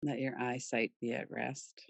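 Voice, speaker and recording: A woman leading a guided relaxation meditation in a soothing, gentle, unhurried manner with pauses. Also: a bass voice, little pitch movement, creaky, no echo. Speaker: yoga nidra meditation guide.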